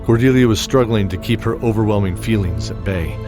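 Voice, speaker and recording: Low-voiced man narrating over loud music. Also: brisk. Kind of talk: scripted fantasy drama narration.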